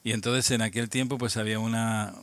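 An older man with a Spain Spanish accent speaks in a lowish voice, reflective and unhurried. He restarts and repeats words often as he works through his memories, in a relaxed interview. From Albacete, Spain. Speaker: guest, amateur radio operator. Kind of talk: casual interview, reminiscing.

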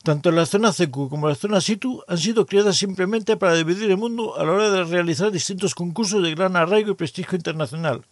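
A man from hosting an amateur radio show, reading out districts and zones in a measured, even way, then interviewing a guest. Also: high-pitched, dry recording, studio quality. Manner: measured, reads lists of places evenly. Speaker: host of an amateur radio program. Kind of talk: scripted explainer then interview.

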